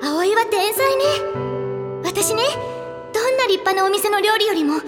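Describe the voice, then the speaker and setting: A woman's voice: very high voice, expressive, a little slow. An actress playing a spoiled, willful young rich girl in an audio drama flashback, with a childlike, imperious way of talking. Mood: sweetly demanding. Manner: imperious yet childlike coaxing. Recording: long reverb, music behind.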